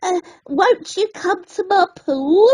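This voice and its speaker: Woman acting little male crab, storybook, shy, plucky, high-pitched, earnest. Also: animated, slow pace, a little mellow, full character voice.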